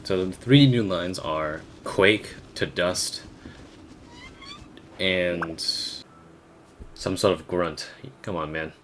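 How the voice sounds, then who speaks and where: A man's voice: deep voice, very slow, pauses often. A young man giving a casual, blunt, rambling, profane rant as a gaming video creator. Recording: faint background noise.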